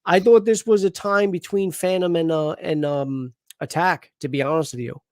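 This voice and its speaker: A man hosts a casual live chat, talking in an animated, rambling way full of restarts. His voice sits a bit high, and the sound is dry and close with no room echo. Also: normal speed. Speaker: host.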